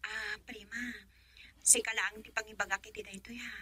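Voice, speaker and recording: An actress voicing a chatty young woman gossiping with her cousin in a radio drama, lively and dropping to a whisper for secrets. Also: mid-range voice, moderate pace. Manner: lively, drops to a whisper when sharing secrets.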